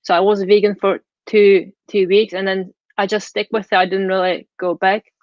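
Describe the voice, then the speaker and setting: A young woman from the Czech Republic with a Czech accent, a guest blogger answering interview questions in a relaxed, frank way on a video call. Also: mid-range voice, normal speed, no room sound. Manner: relaxed, frank, slightly non-native grammar. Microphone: earbuds on a video call. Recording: dull lo-fi call audio.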